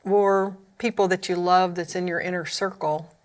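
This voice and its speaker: Earnest Oklahoma woman, lowish voice. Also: fairly even intonation, moderate pace. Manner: earnest, candid storytelling. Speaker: ministry founder, podcast guest. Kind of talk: personal testimony in a panel chat.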